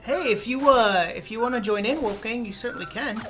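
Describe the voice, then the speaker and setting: A woman's voice: a little high, a little fast, fairly bright tone. Chatty Minnesotan woman streaming. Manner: chatty, giggly, playful. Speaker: streamer playing a game. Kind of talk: casual gameplay chat. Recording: game music behind.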